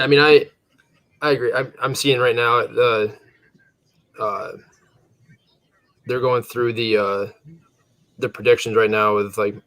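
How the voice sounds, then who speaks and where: A man with a lowish voice chats loosely on a sports podcast from his home setup. He sounds a bit tired and rambles, restarting sentences and chuckling. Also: fairly bright tone. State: a little tired. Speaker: podcast co-host. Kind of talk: casual sports chat.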